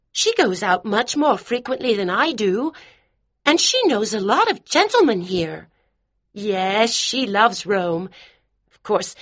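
A flustered middle-aged mother who gushes in a high, fluttery voice, as a male narrator puts her on. Her talk is fussy and chattering, pitched well above the reader's own low voice. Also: a bit bright, heavily acted. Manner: flustered and gushing.

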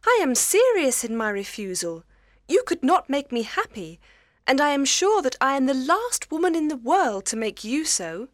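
A woman playing a spirited young gentlewoman in a literary audiobook, polite, articulate and firm. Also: sits high, very animated, moderate pace, no echo, clean studio sound. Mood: earnest, resolute. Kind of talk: dramatised literary audiobook reading.

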